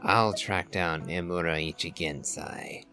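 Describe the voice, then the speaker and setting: A man playing a cautious, thoughtful traveler in a fantasy game, with a deliberate, understated delivery. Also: sits low, bright, forceful tone, exaggerated voice. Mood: thoughtful, wary. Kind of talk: game character dubbing. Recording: faint music under the voice.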